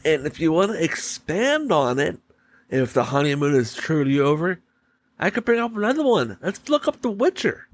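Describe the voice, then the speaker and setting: A man hosting a solo podcast, giving opinion commentary in deliberate, halting short phrases. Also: a little high, very animated, no room sound. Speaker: podcast host.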